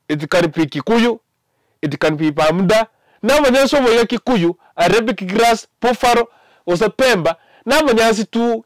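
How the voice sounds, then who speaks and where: A young Kenyan man with a Kenyan accent, giving animated casual commentary to camera from a small indoor studio, with many fillers and mixed languages. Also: high-pitched, dry recording. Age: young adult. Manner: animated, mixing English and Ekegusii, many fillers.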